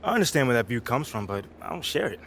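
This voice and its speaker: Confident young basketball rookie, noisy background. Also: male voice, medium pitch, sing-song, fast talker, a bit bright. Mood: explaining, assured. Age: young adult. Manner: informal, slangy, self-assured. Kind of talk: video game cutscene acting, casual interview talk. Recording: clear background noise.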